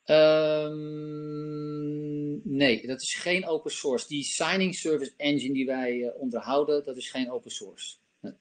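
A man in his fifties giving a live software demo and answering questions on a video call, talking with many fillers from a home office. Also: medium pitch, measured pace. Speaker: company presenter. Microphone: laptop webcam on video call.

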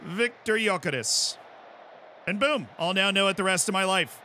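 An American man chatting on a live stream as he plays, with a fairly high voice that has a bright, sharp edge. He explains in long, animated tangents and keeps tossing in "right?" to the chat. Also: measured pace, a little hiss or hum. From United States. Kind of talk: casual chat while playing.

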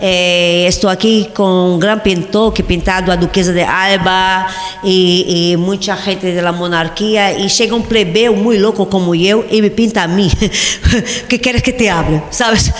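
A woman's voice: medium pitch, steady tone, a little slow. A middle-aged Brazilian woman whose first language is Portuguese chats playfully into a handheld mic, laughing and drifting off topic. Her speech carries a strong Brazilian accent. The studio gives a roomy, echoing sound with a long reverb tail. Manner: playful, rambling, laughs often. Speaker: guest painter. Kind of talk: casual TV interview chat. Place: large TV studio set. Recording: echoey hall with long reverb.

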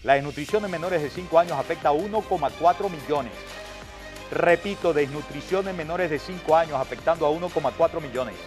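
A man's voice: a little high, brisk, over music. A middle-aged man with a Venezuelan accent hosting a news show, giving indignant, sarcastic commentary and emphatic rhetorical questions as he reads out reports. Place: TV studio.

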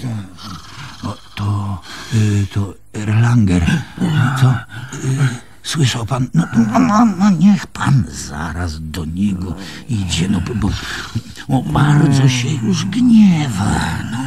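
Flustered man, swooping, halting delivery. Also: mid-range voice, very animated, darkish tone. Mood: flustered, nervous. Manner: hesitant, halting, stammering. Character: flustered, stammering male underling, anxious to please. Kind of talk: radio drama acting.

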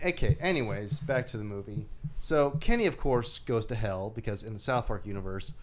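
Man chatting casually, halting and wry. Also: lowish voice, expressive. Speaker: co-host of a movie discussion show. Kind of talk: casual chat, recapping a film.

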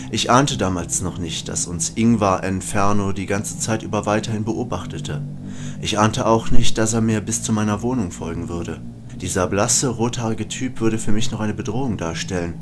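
Guy acting smooth polite reporter in a radio play, kinda low voice, noisy audio. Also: natural voice. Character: suave, flirtatious male newspaper reporter who narrates his story. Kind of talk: German amateur audio drama, dialogue and first-person narration. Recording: clearly noisy home recording.

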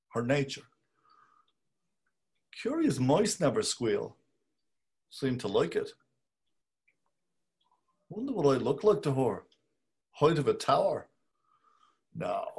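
An older actor playing a fussy middle-aged husband, muttering clipped thoughts and talking fondly to the cat, read over a video call. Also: male voice, mid-range voice, darkish tone, slightly rough, heavily acted. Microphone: video call webcam.

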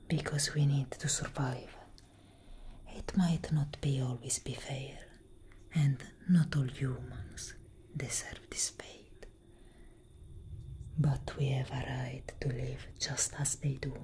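Woman playing elder vampire sister: low, breathy whisper, very measured, grave and protective, ASMR roleplay. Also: dark tone. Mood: grave, loving. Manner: slow, intimate, deliberate. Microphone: close microphone.

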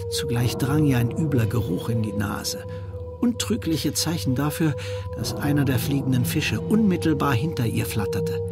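A man narrating a children's fantasy radio play in a measured, suspenseful way. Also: medium pitch, close to an everyday voice. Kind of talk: scripted narration. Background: music.

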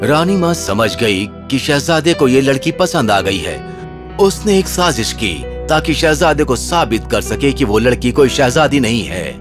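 A man's voice: medium pitch, very animated. A man narrating a scripted fairy tale for children. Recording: music under the voice.

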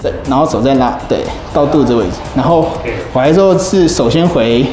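A man, giving a brisk, step-by-step demonstration of a rowing machine in a small office gym. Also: mid-range voice, expressive. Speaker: fitness equipment instructor. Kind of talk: instructional demonstration. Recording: roomy room with background music.

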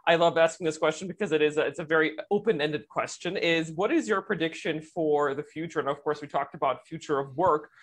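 A woman hosting a podcast interview, agreeing enthusiastically and asking her guest questions. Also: on the low side. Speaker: podcast host.

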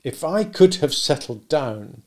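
A man's voice: a little high, very animated, measured pace, a little mellow, voice pushed up. A man voicing a restless, discontented young village man who speaks earnestly and impatiently.

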